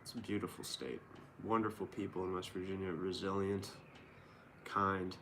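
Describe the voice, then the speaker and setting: A man's voice: low-pitched, level tone, moderate pace, gravelly, sharp tone, slight background noise. A man reading the news aloud and rambling casually about it as a show host, with sarcastic, feigned astonishment.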